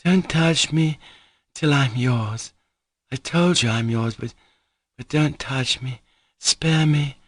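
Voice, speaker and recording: A man voicing an emotional, drunken young woman who pleads in a halting, breathless way. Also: mid-range voice, fairly bright tone, dry recording, clean studio sound, pitched above the performer's own voice. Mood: imploring.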